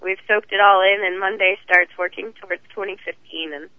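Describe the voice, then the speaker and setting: A hoarse woman with a cold, talking as the guest in a phone interview, chatty and rambling. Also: mid-range voice, fairly even intonation, a little fast, no echo. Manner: chatty, rambling, laughs easily. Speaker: guest champion driver. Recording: tinny phone line.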